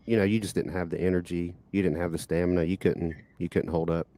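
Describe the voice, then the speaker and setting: Low, mellow, dry-voiced man. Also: little pitch movement, fast talker, no room sound. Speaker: co-host. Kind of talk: casual livestream chat.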